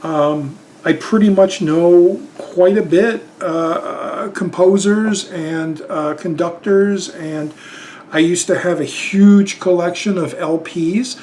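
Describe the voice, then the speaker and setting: A man's voice: sits high, takes it slowly, a little mellow, slight background noise. A man, casually rambling through a tag video with lots of fillers and restarts. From Chicago, Illinois. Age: 50s. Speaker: YouTube channel host.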